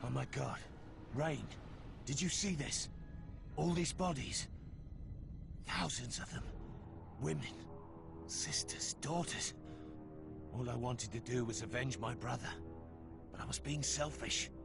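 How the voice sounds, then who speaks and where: A heavily gravelly, creaking male voice a gritty, vengeful young game soldier. He is intense and foul-mouthed, at a middling pitch. Loud music plays behind him. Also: slow pace, long pauses. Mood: shaken, remorseful. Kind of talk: video game cutscene acting.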